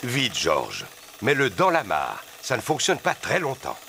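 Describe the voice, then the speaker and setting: A man's voice: medium pitch, huge pitch swings, a little slow, gravelly, full character voice. A man voicing a kindly, easygoing daddy pig, patient and playful, in a French-dubbed preschool cartoon. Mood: rousing.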